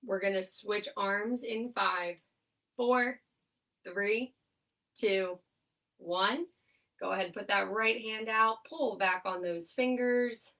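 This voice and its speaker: A young woman occupational therapist leading a seated exercise class, her voice nasal and stuffed up, giving upbeat cues and steady countdowns. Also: mid-range voice, takes it slowly, pauses often, far from the mic, rough recording. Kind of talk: instructional exercise cueing with countdowns.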